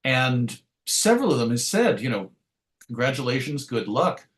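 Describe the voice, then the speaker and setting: A man's voice: mid-range voice, sing-song, moderate pace, a bit bright. Middle aged guy being interviewed, kinda wry and self-deprecating, just talking about back in the day. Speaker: interview guest. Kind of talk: conversational interview answers, reminiscing.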